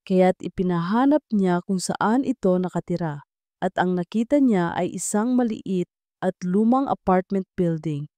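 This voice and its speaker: A woman's voice: on the low side, dark tone, dry recording. A woman reading a scripted, dramatic story as its narrator.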